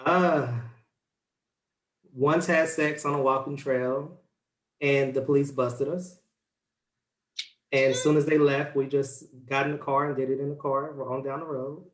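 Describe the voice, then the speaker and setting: A man's voice: mid-range voice, steady tone, measured pace, long pauses. A man guest on a video call telling a story, laid-back and laughing. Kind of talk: casual video-call chat. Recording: echoey room.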